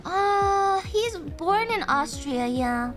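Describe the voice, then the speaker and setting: A woman streamer chatting casually and thinking aloud, excitable, with frequent gasps and laughs. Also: extremely high pitch, very slow, soft background music. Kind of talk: casual livestream chat.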